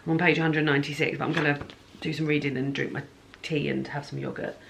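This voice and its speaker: A woman's voice: deep voice, level tone, fast, gravelly, background noise. A woman chatting casually in a home vlog diary about her plans and reading, rambling and correcting herself as she goes. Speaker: book vlogger.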